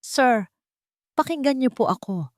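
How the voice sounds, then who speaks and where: A woman's voice: high-pitched, lively intonation, measured pace, no room sound, studio quality, voice pushed up. A woman voicing a frightened, respectful housemaid pleading desperately in an audio love drama. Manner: desperate, deferential.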